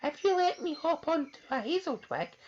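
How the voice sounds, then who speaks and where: A woman voicing a tiny, quick-witted male mouse with a squeaky, sly voice in a storybook reading. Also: expressive, darkish tone, lo-fi sound, a little hiss or hum.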